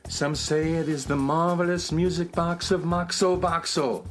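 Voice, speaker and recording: A man playing a knowing, mysterious music box shopkeeper in a children's radio play, telling legends in a measured, portentous way. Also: on the high side, close to an everyday voice.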